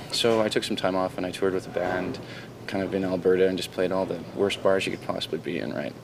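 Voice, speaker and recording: Deep-voiced Canadian rock guitarist. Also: male voice, fairly quick. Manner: confident, rambling, clears throat. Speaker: guitarist and band founder. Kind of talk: casual interview answers. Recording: raw camcorder audio with noticeable noise.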